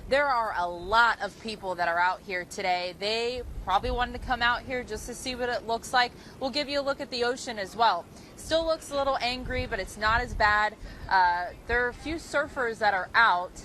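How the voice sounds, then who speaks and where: A woman's voice: a little high, moderate pace, hardly any pauses, sharp tone, low-quality recording. A woman TV news field reporter giving a conversational, ad-libbed live report from outdoors. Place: outdoors on a beach. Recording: faint outdoor ambient noise.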